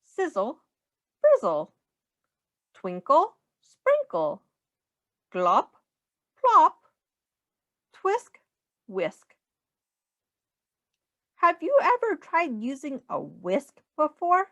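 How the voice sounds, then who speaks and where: A woman's voice: high-pitched, huge pitch swings, very slow, pauses often, a bit bright, dry recording, lo-fi sound. A woman reading a children's picture book aloud in a sing-song way, as a teacher speaking simply to young children.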